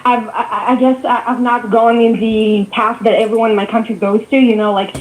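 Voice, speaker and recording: Twenties woman caller, Hebrew native, slightly high voice, halting. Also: level tone, rough recording. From Israel. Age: 20s. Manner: hesitant, many fillers and restarts. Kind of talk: casual chat on a call.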